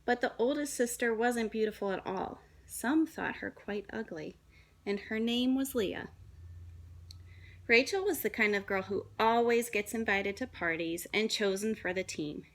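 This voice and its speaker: A woman, a young adult, reading a children's story aloud in a dramatic, deliberate way with shifts between emphasis and hush. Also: a little high. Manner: dramatic, deliberate phrasing with hushed and emphatic moments.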